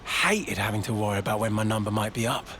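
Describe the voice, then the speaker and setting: An actor playing a friendly, plain-spoken soldier in a video game cutscene, talking in a casual, colloquial way. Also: male voice, on the low side, fast, fairly bright tone, a little hiss or hum, lightly acted. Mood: easygoing, grateful.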